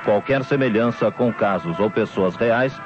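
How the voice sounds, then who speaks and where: A man's voice: lowish voice, measured pace. A man announcing a radio theatre programme in a formal, scripted style. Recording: dull vintage radio recording, loud music.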